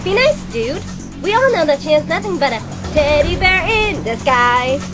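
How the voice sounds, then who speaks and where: A woman's voice: high-pitched, big pitch swings, normal speed, music in the background. A woman voicing a small, friendly, playful childlike companion in a fan audio drama. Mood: playful teasing.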